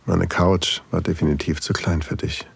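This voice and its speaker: An actor playing an older single father, tender and longing, in an intimate, softly confiding audio drama narration with a husky, gravelly voice. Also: low-pitched, level tone, moderate pace, a little hiss or hum.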